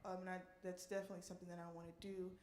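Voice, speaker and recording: A woman's voice: on the low side, level tone, very fast, darkish tone. An American woman hosting a live question-and-answer session as a singer, talking casually to an audience. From New Orleans, USA. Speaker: singer and park ranger hosting a concert Q&A. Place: indoor museum performance space. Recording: echoey room, live event microphone.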